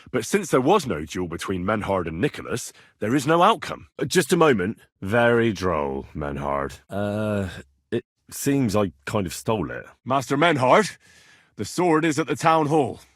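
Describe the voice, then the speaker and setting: A young medieval swordsman for a video game. His delivery is animated and colloquial, with wide swings in pitch and a slightly husky edge. He sounds plain-spoken and earnest, sometimes cheeky, in a close, dry recording. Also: unhurried, no echo. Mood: apologetic, hesitant. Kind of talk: video game voice lines, naturalistic dramatic acting.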